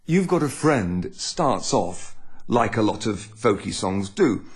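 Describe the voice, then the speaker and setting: A man's voice: on the low side, big pitch swings, slow pace. A man who is a singer, guitarist and broadcaster, chatting easily about a song and singing bits of it to show the chords. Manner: easygoing, chatty, sings lines to illustrate chords. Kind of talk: explaining a song while playing guitar. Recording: guitar playing behind.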